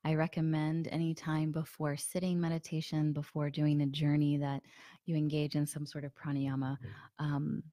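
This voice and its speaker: Low-voiced woman with a sore throat. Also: normal speed, dry recording, clean studio sound. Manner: soft, relaxed, many fillers; drops to a breathy near-whisper. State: sore throat, slightly hoarse. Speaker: meditation guide. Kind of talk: guided meditation with a live group.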